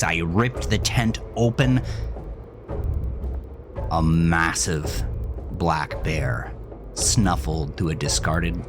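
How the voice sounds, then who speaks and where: Slow, low-voiced man narrating horror. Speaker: narrator of a horror fiction podcast. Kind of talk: dramatic scripted first-person horror narration.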